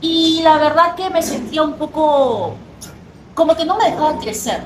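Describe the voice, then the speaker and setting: Fast-talking high-voiced Peruvian woman. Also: echoey room, background noise. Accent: Peruvian Spanish. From Peru. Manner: fast, animated, chatty. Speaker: guest, personal trainer. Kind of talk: casual interview.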